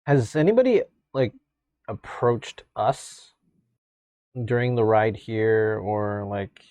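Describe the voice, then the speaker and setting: A man's voice: lowish voice, slow pace, a little mellow, no echo. A man playing in a tabletop game, talking hesitantly and filling gaps with "like". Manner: hesitant, full of "like". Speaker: player. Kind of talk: casual tabletop game chat.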